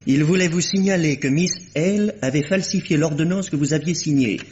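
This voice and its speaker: An actor playing a chatty, wry, familiar manservant in an old French radio drama. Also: male voice, fairly high pitch, moderate pace, darkish tone, a little hiss or hum, lightly acted. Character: cheeky, familiar manservant of a country doctor. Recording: dull vintage radio recording.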